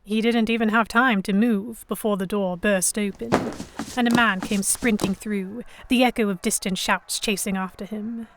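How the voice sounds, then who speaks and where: A woman playing an anxious, indignant young captive who narrates her ordeal in expressive, first-person storytelling for an audio drama. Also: medium pitch, moderate pace, a little hiss or hum, lightly acted. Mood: wry, reluctant.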